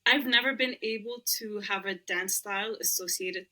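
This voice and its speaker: A young woman with a bright, clear voice. Her is Arabic, but she talks easily in English. She is chatty and laughs often as a podcast guest. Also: medium pitch, normal speed. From Lebanon. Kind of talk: casual interview chat.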